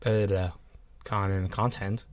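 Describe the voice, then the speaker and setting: A man's voice: on the low side, level tone, slow, dry recording. A man co-hosting a podcast, chatting loosely with restarts and swearing. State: on cold medication. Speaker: podcast co-host. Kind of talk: casual chat.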